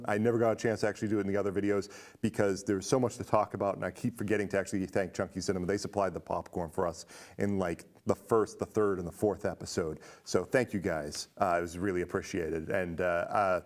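A man's voice: low-pitched, steady tone, quick pace, a little mellow. A man around his forties, hosting a casual film chat in an indoor studio. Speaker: talk show host. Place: indoor studio set with curtains.

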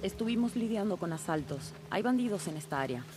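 An actress playing a firm middle-aged woman who leads a settlement in a dubbed video game, brisk and welcoming. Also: medium pitch, lively intonation, lightly acted. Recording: game audio over clear music.

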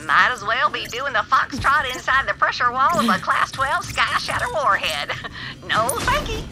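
Older homestead granny: folksy, doting, swoops up and down, scored over blaring game music. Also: female voice, high voice, big pitch swings, moderate pace, rough recording. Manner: folksy, homespun, calls you dearie. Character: kindly folksy old farm matriarch, sweetly scolding. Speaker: homestead matriarch giving quests. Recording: loud game music underneath.

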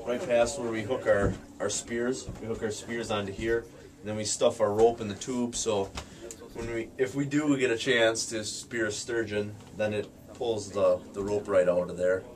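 A man's voice: on the low side, background noise. A young American man casually explaining things in a matter-of-fact, tongue-in-cheek way, like a guide on a tour. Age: young adult. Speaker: fisherman guest showing his ice shack. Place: small wooden ice fishing shack. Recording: music playing behind the voice. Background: guitar music.

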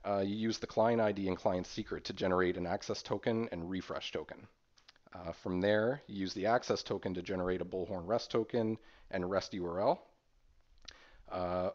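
A man presenting an informal screen-share software tutorial in a hesitant, explanatory way. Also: low-pitched. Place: voice-over recorded over screen capture. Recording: dull, muffled lo-fi audio.

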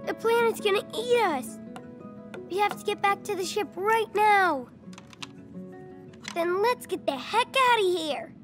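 A playful ten-year-old boy chatters to himself in a very high, childlike voice. He speaks slowly and with wonder as he invents adventures, and faint game music sits beneath him. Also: exaggerated voice. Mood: excited play. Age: child figure. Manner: excited, playful, talks to himself. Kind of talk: video game voice acting.

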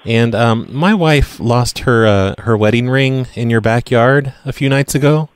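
A man's voice: medium pitch, unhurried, clear, resonant tone, no echo. A man making a casual prank phone call, talking in a nonchalant, insistent, innocent-sounding way. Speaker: prank caller.

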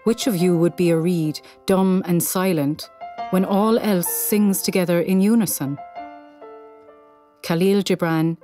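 A woman narrates in a measured, poetic reading style. Her voice is soft, mellow and a little low, with faint music playing behind her. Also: steady tone.

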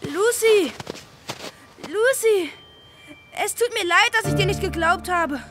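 A woman's voice: very high voice, animated, slow pace, full character voice. A woman playing a spiteful, teasing young boy in a German radio play, with a mocking manner. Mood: calling out. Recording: music behind the voice.

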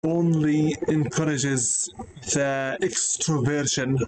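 A young man speaks English as a second language in an earnest, searching personal monologue. He pauses often and corrects himself. His voice sits a little high, with clear background noise behind it. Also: fairly even intonation. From Canada. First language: not English. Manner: earnest, frequent hesitations and self-corrections. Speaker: vlogger. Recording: noisy background.

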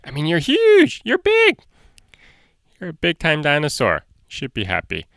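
A man's voice: on the high side, wildly swooping pitch, measured pace, a bit bright, no room sound, clean studio sound. A man, perhaps in his thirties, hosting a casual step-by-step drawing lesson for children in a playful way, talking to himself as he draws. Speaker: drawing tutorial host for children.

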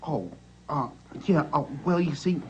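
An actor playing an eager, rambling fan in a comic film, halting and ingratiating. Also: male voice, on the high side, expressive. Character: eager, rambling male fan promoting his brother. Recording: dull film sound, faint noise.

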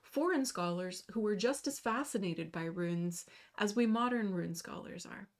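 A woman in her thirties giving a scripted lecture over slides, reading carefully from a small room at home. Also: medium pitch. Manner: measured, careful reading with slow emphasis on terms. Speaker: runology lecturer. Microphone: webcam video in the corner.

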